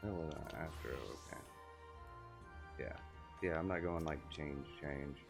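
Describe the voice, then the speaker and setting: A man's voice: extremely low pitch, measured pace, gravelly. A man streaming a video game, reading its text aloud and adding casual offhand commentary. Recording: game music behind the voice. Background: video game music.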